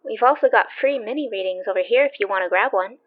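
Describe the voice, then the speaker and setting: An actress playing a polite, chatty young shop assistant with a wry streak in an audio drama. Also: female voice, mid-range voice, quick pace, lightly acted. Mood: helpful, friendly. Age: young adult. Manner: courteous, helpful, wry. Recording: muffled sound with music behind.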